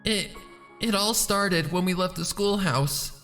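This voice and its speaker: A man voicing a frightened little girl pony from a story, pleading and halting. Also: sits high, pushed and bright, in a large hall, faint music behind, voice pushed up. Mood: pleading, breathless. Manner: stammering, halting.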